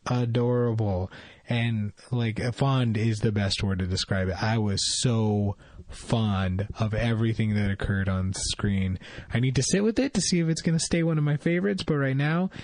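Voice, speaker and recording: A man's voice: medium pitch, a little mellow, dry recording. A man giving a casual review in a listener message. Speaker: listener calling in.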